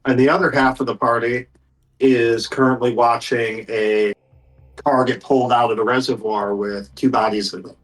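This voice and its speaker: A man's voice: mid-range voice, steady tone, normal speed, poor audio. A man acting as game master, casually narrating and steering a tabletop game.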